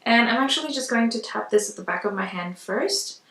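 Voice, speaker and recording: A woman's voice: fairly high pitch, animated, a little fast, a bit bright. A woman giving a casual makeup tutorial in a chatty, conversational way. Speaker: makeup vlogger. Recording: roomy echo, distant mic.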